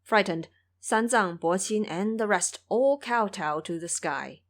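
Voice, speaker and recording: A woman storyteller giving expressive, explanatory scripted narration of an audio drama. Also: medium pitch, measured pace.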